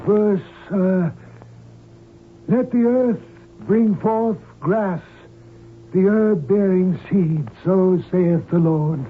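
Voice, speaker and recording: An actor playing a fanatical, pompous cult preacher and hijacker in an old-time radio drama, speaking in pious scriptural phrases. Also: male voice, high-pitched. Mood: righteous, indignant. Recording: tinny, band-limited old radio recording, hissy.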